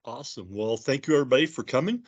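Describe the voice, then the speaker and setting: Man, panel moderator, unhurried, video call audio. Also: mid-range voice, dull audio. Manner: relaxed, loose with fillers.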